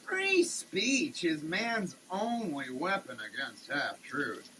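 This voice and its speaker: A mid-pitched voice reads slowly and theatrically, declaiming in halting pieces and repeating words. The recording is noisy, made in a running shower. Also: big pitch swings, bright, forceful tone. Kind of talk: dramatic, theatrical reading of a product label.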